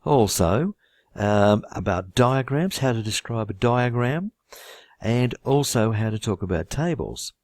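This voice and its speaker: An older Australian man with a husky voice introduces a writing course in a relaxed, conversational way, with self-deprecating humor. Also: mid-range voice, a little slow, no echo, studio quality. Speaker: IELTS writing teacher.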